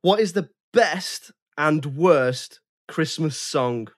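A man's voice: on the high side, lively intonation, takes it slowly. Jokey rambling man on a podcast. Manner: jokey and rambling, with many restarts. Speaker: podcast co-host. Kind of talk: casual podcast chat.

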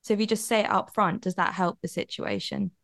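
A woman's voice: mid-range voice, fairly even intonation, a little fast, vocal fry, a bit bright, no echo, studio quality. A woman hosting a podcast, asking her guest open questions and following up on what he says. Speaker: podcast host. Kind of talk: interviewing a guest.

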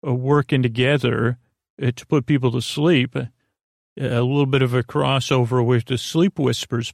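Low creaky guy doing a sleep podcast, soothing, rambles and trips over his words, close dry sound. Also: no echo. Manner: meandering, halting, stammering with false starts and tangents; soothing, lulling. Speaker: podcast host.

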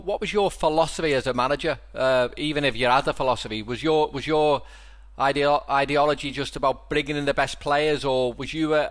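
A man co-hosts a podcast in casual chat. He talks fast in a mid-pitched voice, with informal, rambling phrasing. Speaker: podcast co-host.

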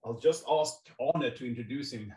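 A man's voice: medium pitch, moderate pace, dark tone. Hesitant male host, echoey distant mic. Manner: hesitant, many fillers and repeated words. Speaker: podcast host. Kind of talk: casual interview hosting. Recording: echoey room, far from the mic.

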